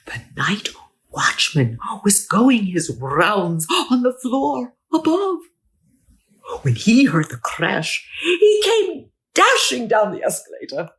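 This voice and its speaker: A woman reading a children's storybook theatrically, with dramatic exclamations and hushed asides. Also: mid-range voice, very animated, slow. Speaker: storybook reader.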